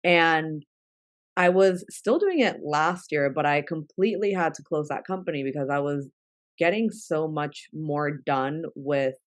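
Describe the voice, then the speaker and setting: A woman's voice: low voice, dry recording. A young woman from New York whose first language is Spanish, chatting casually as an interview guest with lots of "like" fillers. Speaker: guest, travel content creator.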